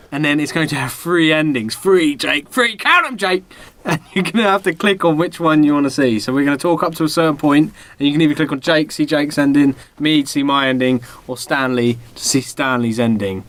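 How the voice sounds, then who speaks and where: A man's voice: on the high side, fast, a bit bright. A man co-hosting a comedy podcast, bantering casually and jokily. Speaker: podcast co-host and narrator.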